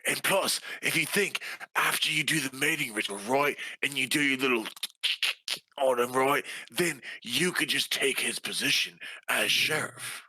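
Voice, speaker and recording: Bright-voiced man improvising at the game table. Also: mid-range voice, measured pace, husky, no room sound, poor audio. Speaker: player in a tabletop role-playing game. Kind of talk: improvised in-character dialogue, conversational.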